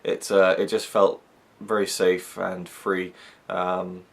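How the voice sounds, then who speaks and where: Low gravelly young Welsh man. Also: level tone, slow pace. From Wales. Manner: rambling, chatty, many fillers. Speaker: YouTube reviewer. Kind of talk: casual chat to camera.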